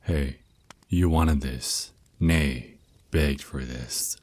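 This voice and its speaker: A man's voice: very deep voice, no echo, exaggerated voice. A man voicing a teasing, protective vampire naga boyfriend who mentors his lover in an intimate, reassuring ASMR roleplay. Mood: teasing, smug. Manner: soft teasing endearments, reassuring, unhurried. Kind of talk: ASMR roleplay, intimate one-sided dialogue.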